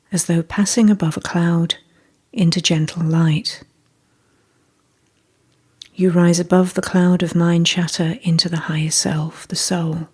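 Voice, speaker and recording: Soft, breathy woman guiding a meditation. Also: fairly low pitch. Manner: gentle, measured, soothing. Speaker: meditation guide. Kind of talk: scripted guided meditation.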